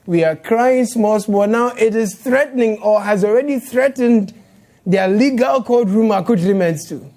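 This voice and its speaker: A man's voice: high-pitched, moderate pace, a little mellow. A young man with a Ghanaian accent hosting a satirical news show from a studio, playful and sarcastic. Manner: playful, sarcastic, laughs while talking.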